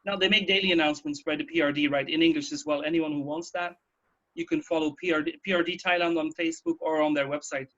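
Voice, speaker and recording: A man's voice: a little high, steady tone, quick pace, pushed and bright. A man hosting a casual, informative radio talk, explaining things and repeating terms. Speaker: radio host. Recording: dull, muffled band-limited sound.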